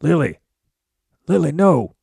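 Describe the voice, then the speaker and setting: A man's voice: fairly high pitch, big pitch swings, slow pace, creaky, darkish tone, breathy edge, exaggerated voice. A man playing a stern, protective rancher father in an amateur Western audio drama. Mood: urgent, alarmed.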